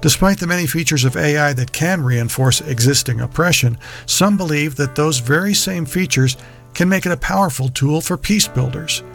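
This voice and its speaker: Gravelly-voiced male radio host. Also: a little high, a bit bright, soft background music. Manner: measured, announcer-like. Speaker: radio series producer and host. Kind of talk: scripted radio narration.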